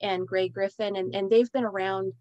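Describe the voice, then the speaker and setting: A woman's voice: medium pitch, steady tone, normal speed, darkish tone, no room sound, highs cut off. A woman host asking questions in a casual interview, rambling and restarting her sentences. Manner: rambling, hesitant, many restarts.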